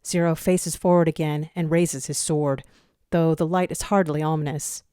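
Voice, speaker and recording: A woman's voice: low-pitched, fairly even intonation, normal speed, mellow, clear, resonant tone, no room sound, clean studio sound. A woman reading a dramatic scripted story as its narrator. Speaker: narrator of a fan fiction audio reading.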